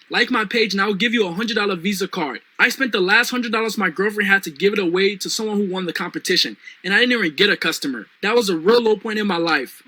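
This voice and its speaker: A young man with a Liberian accent giving an energetic motivational sales talk as a business coach, often calling out to "guys". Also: dry recording. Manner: pitchy, repeats "guys" and "right now".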